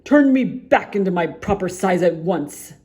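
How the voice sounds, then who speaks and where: A woman's voice: deep voice, moderate pace, natural voice. Woman doing a rude, haughty rich man. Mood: indignant. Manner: snappish, haughty.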